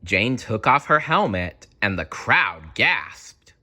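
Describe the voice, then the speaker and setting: Guy reading a kids storybook, lowish voice but bright, slow and dramatic. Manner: expressive, dramatic. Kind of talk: children's storybook read-aloud.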